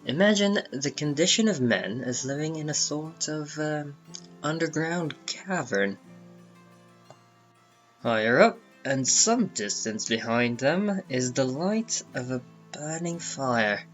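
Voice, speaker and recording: A man's voice: on the high side, expressive, slow, a bit bright, lo-fi sound. A man narrating a comic book dramatically, with theatrical, emphatic phrasing. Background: background music.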